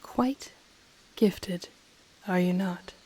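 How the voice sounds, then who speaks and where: Woman as a slow, breathy, regal ancient dragon. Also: lowish voice, dark tone, full character voice. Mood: haughty, composed. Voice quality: breathy, half-whispered. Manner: slow, formal, deliberate, haughty. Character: ancient powerful dragon, regal, intrigued, teasingly menacing. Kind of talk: scripted audio roleplay, intimate monologue to listener.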